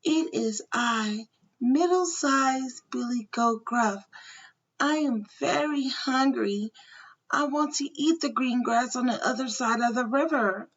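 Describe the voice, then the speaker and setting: A woman voicing a middle-sized, slightly nervous male billy goat in a storybook, earnest, polite and measured. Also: a little high, recorded from a distance, lo-fi sound.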